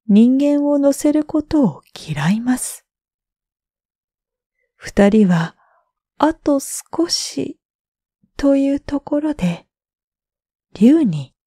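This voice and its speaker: A woman narrating bedtime stories for sleep in a gentle, drawn-out way with breathy phrase endings. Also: high voice, dry recording, clean studio sound. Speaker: storyteller, freelance announcer.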